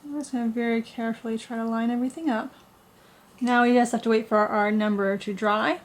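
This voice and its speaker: A woman's voice: fairly high pitch, fairly even intonation, fairly quick. A woman who is getting over a cold, walking through a paper craft tutorial with plain, patient, step-by-step instructions. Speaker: craft blogger.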